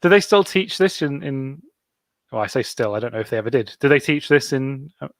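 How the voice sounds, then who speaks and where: A man's voice: medium pitch, expressive, fairly quick, no echo. A man hosting a casual book club podcast, steering the talk and laughing often. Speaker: podcast host.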